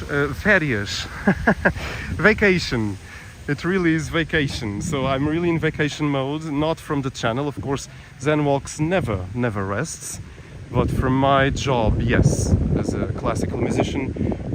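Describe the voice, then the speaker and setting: A man's voice: medium pitch, slow pace. Portuguese man live-streaming over outdoor noise. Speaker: live-stream walking tour host. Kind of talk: casual live vlog chat. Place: outdoors, walking through a village. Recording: clear outdoor ambience and traffic noise.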